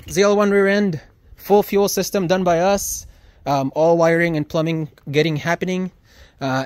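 A man in his thirties filming himself at arm's length, giving a relaxed, rambling walkaround of his car in a garage and then while driving. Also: on the high side, dry recording. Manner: relaxed, rambling, many ums. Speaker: shop owner showing his car. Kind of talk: casual vlog walkaround. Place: car shop garage, then inside a moving car. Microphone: camera held at arm's length.

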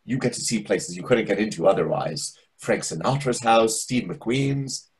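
A man's voice: fairly low pitch, moderate pace, close to an everyday voice, rough recording. A middle-aged man playing a chatty, nosy, self-important waiter in a comedy play reading, pompous and insinuating. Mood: boastful. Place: indoors on a video call. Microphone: video call microphone.

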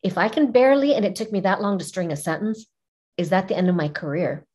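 A middle-aged woman whose first language is Arabic, telling personal stories as an interview guest in an expressive way, repeating phrases for emphasis. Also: mid-range voice, fast, husky. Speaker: podcast guest, image consultant. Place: small furnished room indoors, video call. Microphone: video call, seated at webcam distance.

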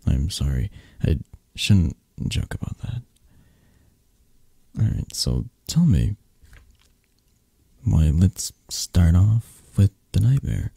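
A man playing a possessive, flirtatious mafia boss who comforts a lover in intimate ASMR roleplay, murmuring softly and haltingly in a breathy, gravelly voice. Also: deep voice, big pitch swings, dry recording, full character voice. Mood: tender, soothing. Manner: slow, soft, halting, soothing murmur.

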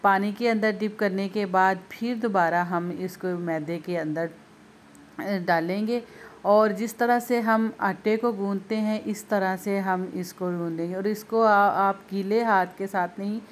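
A woman's voice: mid-range voice, fairly even intonation, unhurried, a little hiss or hum. Patient woman teaching home cooking. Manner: patient, repeats instructions, speaks directly to viewers. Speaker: home cooking video host. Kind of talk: step-by-step cooking demonstration. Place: home kitchen.

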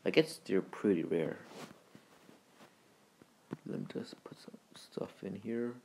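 A man hosting a gaming video with casual, rambling, halting commentary in informal words. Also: sits low, gravelly, a little mellow, a little hiss or hum, rough recording. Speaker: gaming video creator and host.

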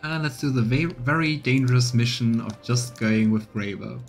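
A man's voice: medium pitch, moderate pace, faint music behind. A man in his thirties giving casual commentary as he streams a game playthrough. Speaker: let's play streamer.

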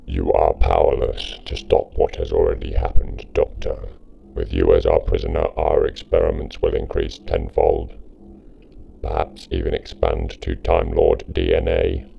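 A man's voice: extremely low pitch, completely flat delivery, unhurried, creaky, husky, muffled recording, exaggerated voice. A man playing a cold, ruthless Dalek commander in an audio drama, clipped and commanding, through a robotic filter. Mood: cold menace. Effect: robotic ring-modulated voice filter.

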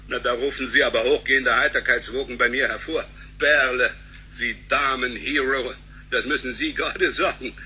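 Sharp, wordy, sarcastic bank employee. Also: male voice, mid-range voice, a little hiss or hum, low-quality recording. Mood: flippant, sarcastic. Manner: florid, sarcastic, pompous. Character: wordy, flippant, indignant male bank employee. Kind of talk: German sci-fi radio drama acting.

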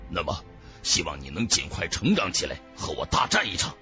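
A man's voice: deep voice, wildly swooping pitch, a little fast, bright, forceful tone, faint music behind, pitched below the performer's own voice, heavily acted. A man voicing a proud, earnest swordsman rival with solemn, formal martial-hero speech in an audiobook. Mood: resolute.

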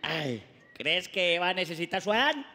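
High bright teasing man. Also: very animated, moderate pace, long reverb tail. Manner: teasing, playful, jumpy delivery. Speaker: talk show host. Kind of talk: comic talk show banter. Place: TV studio set.

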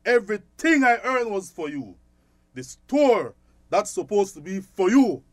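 Scolding middle-aged Belizean Creole shopkeeper dad, high male voice, dry radio drama sound. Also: sing-song, measured pace, dry recording. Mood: angry, hurt.

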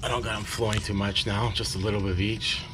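Young male tradesman, very low voice, informal how-to patter, noisy basement recording. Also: level tone, fast. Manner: plain-spoken, informal, pointing things out step by step. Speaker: HVAC installer showing his work. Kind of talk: casual how-to walkthrough. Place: basement utility room.

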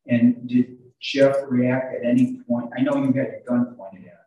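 An older man cross-examining a witness as a defense lawyer, halting and repeating words as he builds questions. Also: mid-range voice, little pitch movement, mellow, lo-fi sound. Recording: very echoey, distant video call audio.

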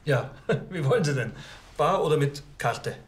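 An actor playing a naturopath in a TV crime comedy, chatting and explaining in an unhurried way. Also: male voice, fairly high pitch, animated, a little hiss or hum, natural voice. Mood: soothing, breezy. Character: breezy, soothing male naturopath treating a patient. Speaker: alternative practitioner.

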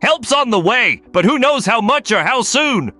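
A man voicing a brash, gruff crocodile detective boss who barks out orders in a comic dub. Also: very high voice, expressive, normal speed, pushed and bright, faint music behind, heavily acted. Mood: businesslike.

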